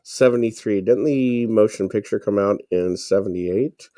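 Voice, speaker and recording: A man's voice: lowish voice, normal speed, warm tone, no echo, studio quality. A man co-hosting a podcast, explaining things informally in casual chat. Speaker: podcast co-host.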